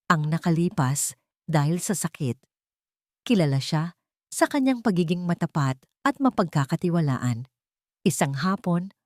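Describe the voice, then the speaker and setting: A woman with a Filipino accent narrates a dramatic romance story. Her voice sits on the low side for a woman, and the sound is dry and close, with hardly any room to it. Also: darkish tone, dry recording.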